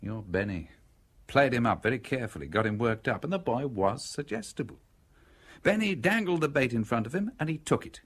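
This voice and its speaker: An actor in a radio drama playing a cool, wry, persuasive man who speaks with deliberate irony in a husky voice. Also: medium pitch, lively intonation.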